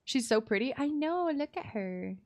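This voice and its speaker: A woman's voice: high-pitched, lively intonation, moderate pace, no echo. A woman live streaming a game, chatting casually and playfully with cutesy slang. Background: soft background music.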